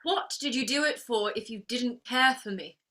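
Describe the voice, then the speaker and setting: A woman's voice: a little high, moderate pace, bright, forceful tone. A woman playing a proud, wounded young flower girl turned lady in a theatrical play reading, defiant and plaintive. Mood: hurt, reproachful. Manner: defiant, plaintive, emotionally outspoken.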